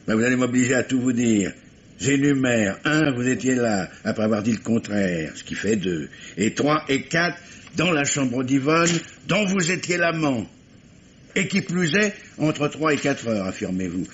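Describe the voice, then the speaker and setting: An actor playing an insistent, sardonic police commissioner who questions methodically, with stammered repetitions, in the style of a 1950s French radio crime drama. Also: male voice, medium pitch, expressive, a little slow, lightly acted. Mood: methodical, pressing. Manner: probing, stammering repetitions, ironic. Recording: muffled, noisy old radio recording.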